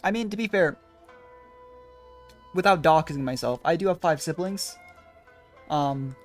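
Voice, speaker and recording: A game streamer talks casually over a playthrough in a medium-pitched voice. The delivery is rambling, thinking aloud. Faint music sits in the background. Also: a little slow.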